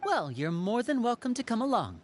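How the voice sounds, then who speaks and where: Genial young gentleman for a game: courteous, smooth, welcoming, lively pitch movement. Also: fairly quick, background noise. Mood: genial, helpful. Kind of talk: video game voice acting.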